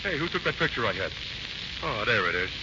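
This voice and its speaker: An actor playing a brash, bickering American Marine private who talks in grumbling, ungrammatical slang, in 1940s radio style. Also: male voice, mid-range voice, big pitch swings, fairly quick, close to an everyday voice. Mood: smitten, defensive. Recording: muffled, very noisy 1940s radio recording.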